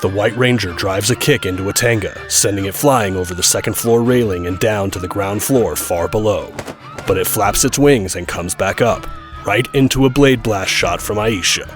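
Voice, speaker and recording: A man's voice: lowish voice, moderate pace, music in the background. A man giving scripted, present-tense action narration for an audio drama.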